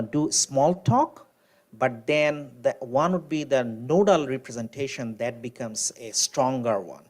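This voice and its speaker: A man on a panel explaining technical concepts, often pausing with "you know" and restarting his sentences. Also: mid-range voice.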